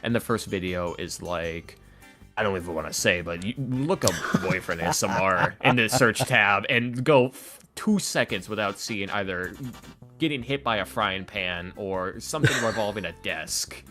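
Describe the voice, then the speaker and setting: A man's voice: lowish voice, normal speed, bright, forceful tone. A man in a casual podcast chat as an interview guest, talking in a rambling, self-deprecating, sardonic way. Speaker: interview guest, ASMR roleplay creator. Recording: music playing behind the talk. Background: background music with guitar.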